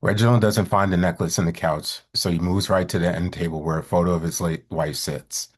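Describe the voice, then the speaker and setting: A man's voice: sits low, fairly even intonation, fairly quick, husky. A man reading stage directions aloud as scripted narration at a live screenplay table read.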